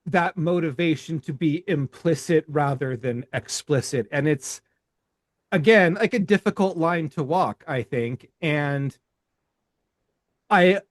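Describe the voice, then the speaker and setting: A man talking reflectively on a podcast in a halting, thoughtful way, choosing his words with care. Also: on the high side, dry recording. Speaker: podcast host and artist.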